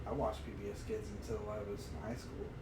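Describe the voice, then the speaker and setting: A man in his thirties co-hosting a podcast, chatting informally and a bit haltingly. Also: on the low side, steady tone. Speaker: podcast co-host. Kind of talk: casual chat about old games. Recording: distant mic, echoey room, noisy background.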